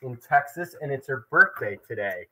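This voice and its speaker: A man's voice: medium pitch, expressive, moderate pace, pushed and bright, slight background noise. A middle-aged man with a Midwestern American accent chatting casually as a co-host, with dry, joking remarks. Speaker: co-host reading viewer comments. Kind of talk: casual chat. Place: indoors at home.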